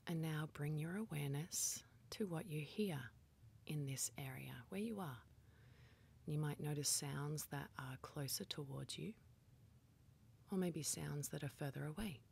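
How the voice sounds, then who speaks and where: Female meditation guide, low-pitched, very breathy and soft, calm gentle instruction. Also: measured pace.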